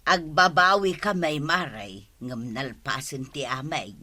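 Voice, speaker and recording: A woman's voice: low-pitched, a little slow, pushed and bright, exaggerated voice. A woman playing a soothing village friend in a comedy radio drama, with an Ilocano accent.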